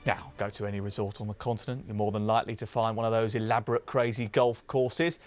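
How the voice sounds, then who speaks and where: A man's voice: fairly low pitch, little pitch movement, fast talker. A British man reading a scripted sports bulletin. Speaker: sports news presenter. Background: music bed.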